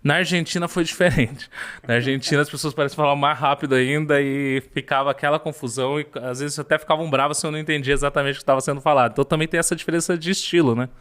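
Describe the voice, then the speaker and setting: A man's voice: fairly high pitch, fairly even intonation, rapid-fire, fairly bright tone, smooth. Man, host, casual chat, affirming, asks follow-ups.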